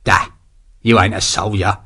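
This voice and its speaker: A man doing a brutal army sergeant with a coarse, sneering voice that swings widely up and down. He bullies and schemes in rough barrack-room slang, in a storybook reading. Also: medium pitch, animated, brisk, a bit bright. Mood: scornful.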